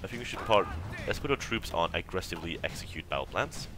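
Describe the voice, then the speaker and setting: A man's voice: deep voice, sing-song, fast talker. A young man with a faint Italian accent giving rambling, casual commentary while playing a strategy game, laughing often and talking to the enemy. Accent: Italian, softened by years in Sweden. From Italy. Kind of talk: casual let's-play commentary.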